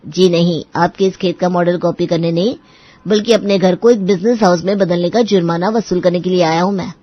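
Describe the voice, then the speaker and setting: A woman's voice: lowish voice, steady tone, fairly quick, no room sound, highs cut off, natural voice. Woman acting a stern, officious male inspector. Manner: officious, scolding. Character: stern fake male government official imposing fines. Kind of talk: Hindi moral story cartoon acting.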